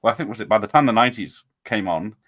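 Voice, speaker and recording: A man with a fairly low but bright, forward voice. He hosts an informal conversation, joking and giggling easily as he goes. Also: expressive, fast talker. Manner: jokey, giggly. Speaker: interviewer, host. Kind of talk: casual chat.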